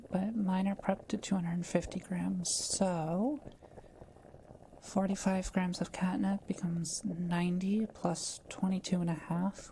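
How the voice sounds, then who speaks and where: A woman playing a kind, quiet witch in an audio roleplay, speaking gently and carefully as she reassures and explains things to the listener. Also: fairly low pitch, slight background noise, rough recording. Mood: thoughtful, focused. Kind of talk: soft-spoken roleplay audio, talking to the listener.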